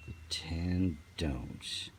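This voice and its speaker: A man giving casual, off-the-cuff commentary as he browses, rambling in a wry, slangy way. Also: very slow. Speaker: online seller showing an item. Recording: noisy amateur recording with background rumble.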